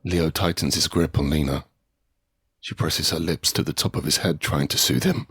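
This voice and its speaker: An actor playing a guilt-ridden survivor in an audio drama, speaking in halting, intense first person. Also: male voice, a bass voice, steady tone, a bit bright, slightly rough, full character voice. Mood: tense, hushed narration. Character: grief-stricken guilt-ridden male survivor, narrating his ordeal.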